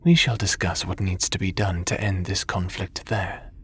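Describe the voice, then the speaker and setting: A man playing a calm, dignified, diplomatic god in an audio roleplay, speaking in a formal, measured and gently admonishing way. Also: low voice, slight background noise. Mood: gently stern.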